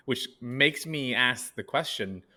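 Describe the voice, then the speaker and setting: A man's voice: medium pitch, lively intonation, takes it slowly, sharp tone. A man hosting an interview podcast, asking casual, upbeat, rambling questions. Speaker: podcast host.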